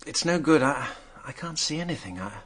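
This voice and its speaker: An actor playing a hard-up, self-mocking drinker under questioning in a British radio drama, wry and hesitant. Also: male voice, on the high side, animated, fairly quick, fairly bright tone, slight background noise.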